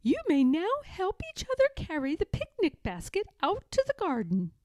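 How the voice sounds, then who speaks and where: A woman's voice: extremely high pitch, wildly swooping pitch, normal speed, dry recording, clean studio sound, pitched above the performer's own voice, heavily acted. A woman voicing a cheerful old grandmother bear in a children's storybook, affectionate but firm, in a sing-song manner. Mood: playful, affectionate.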